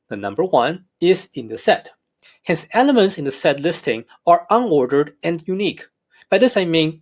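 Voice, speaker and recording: Hong kong accented guy, math prof, fairly high voice, swoops up and down a lot, careful explaining. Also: a little mellow. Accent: Hong Kong English. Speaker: math professor. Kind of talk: recorded lecture narration.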